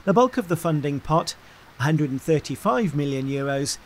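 A man reads a scripted documentary narration in an even, informative manner. His voice sits a little high for a man, and faint noise hangs under the recording. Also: moderate pace.

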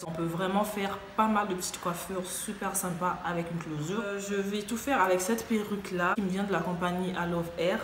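A young woman talks to her viewers in a chatty, upbeat way, her voice a little stuffy from a cold. Her voice sits somewhat low. The room sounds echoey, with clear noise behind her. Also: steady tone, a little fast, hardly any pauses. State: has a cold. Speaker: beauty vlogger. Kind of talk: casual hair tutorial talk to camera. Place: small room indoors.

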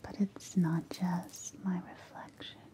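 A woman's voice: fairly low pitch, little pitch movement, poor audio. A young woman playing a tender, possessively protective witch in an ASMR roleplay, whispering breathily and intimately close to the mic. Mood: tender, entranced. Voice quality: breathy, hushed. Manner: slow, intimate, soothing. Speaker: ASMR performer. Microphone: close-up ear-to-ear microphone.

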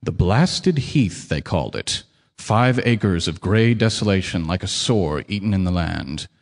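A man narrating a horror radio drama in a measured, somber way. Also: sits low, expressive. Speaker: narrator, a surveyor.

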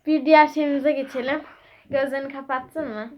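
High-voiced woman hosting a casual game video. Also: steady tone, a little fast, dull audio. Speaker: host of a children's guessing game video. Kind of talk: casual playful chat to viewers and a child. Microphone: phone held by the speaker. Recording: noisy home phone recording with clicks.

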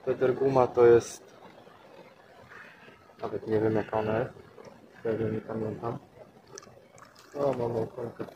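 A man's voice: mid-range voice, flat delivery, unhurried, long pauses, lo-fi sound. A young man casually talking through his fishing session outdoors by a canal, explaining his gear step by step. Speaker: angler vlogger. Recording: outdoor noise, nature sounds behind.

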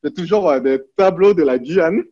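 A man in his thirties from French Guiana talking casually and haltingly as an interview guest on a video call. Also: on the high side, expressive, mellow, husky, faint music behind. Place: small plain room indoors. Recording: dull, lo-fi video call audio.

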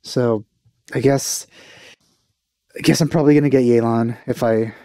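Young man, mellow casual gamer host. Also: mid-range voice, fairly even intonation, normal speed, no echo, studio quality. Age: young adult. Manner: informal, enthusiastic. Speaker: reaction video host. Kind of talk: casual chat reacting to a game trailer. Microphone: headset microphone.

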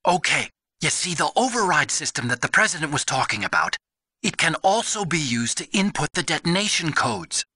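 Eager, bright-voiced young tech adviser. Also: male voice, a little high, very animated, normal speed, breathy edge, no echo, heavily acted. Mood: earnest, urgent. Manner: earnest, explanatory. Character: eager young male technical adviser over radio. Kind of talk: expository video game voice acting.

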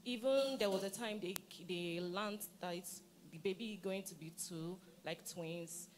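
A young woman tells her story matter-of-factly into a handheld mic in a church crowd. Her voice sits somewhat low, with faint noise behind it. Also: a little slow. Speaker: congregation member giving testimony. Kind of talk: personal testimony. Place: large church auditorium among the congregation.

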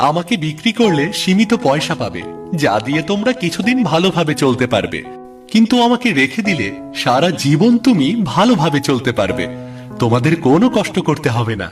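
A man voicing a wise, calm magical swan in a cartoon fairy tale, giving measured advice. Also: fairly high pitch, distant mic, over music. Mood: persuasive. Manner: instructive, measured, advising. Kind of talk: Bengali animated fairy-tale dialogue.

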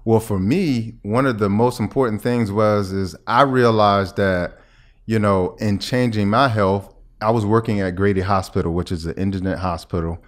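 A man's voice: lowish voice, moderate pace. A middle-aged American man speaking conversationally as a podcast guest, explaining his points and checking that the listener follows. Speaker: podcast guest, health expert. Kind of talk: conversational interview. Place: small indoor room at a table. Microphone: podcast microphone on boom arm.

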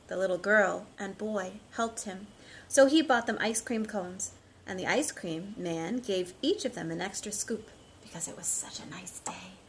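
Woman, 30s, children's storytime teacher, mid-pitched and expressive, simple phrasing, homemade phone recording with light noise. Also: unhurried. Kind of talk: storytime read-aloud and talk to young children. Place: living room at home, indoors. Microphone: phone at about arm's length.

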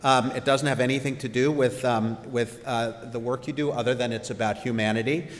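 A man in his fifties giving a conference keynote in a halting, hesitant way at a podium microphone. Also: medium pitch, steady tone. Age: 50s. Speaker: keynote speaker. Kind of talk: conference presentation. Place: large indoor conference hall stage. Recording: reverberant conference hall.

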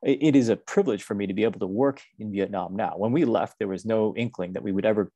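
Gravelly American man giving a lecture. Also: on the low side, quick pace, warm tone, no echo. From United States. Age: 40s. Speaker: university professor giving a research seminar talk. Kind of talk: academic lecture. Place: indoor room, over a video call. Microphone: video call microphone, seated close to webcam.

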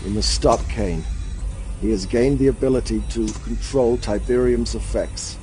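An actor playing a dying, remorseful prophet in a game, solemn and halting. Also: male voice, mid-range voice, dark tone, faint music behind, lightly acted. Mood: grave remorse. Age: middle-aged.

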